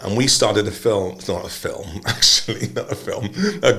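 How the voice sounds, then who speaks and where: An older man from Wales, a singer telling his story casually, relaxed with many pauses. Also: fairly low pitch, vocal fry. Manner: relaxed, rambling, many ums. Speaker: singer, guest.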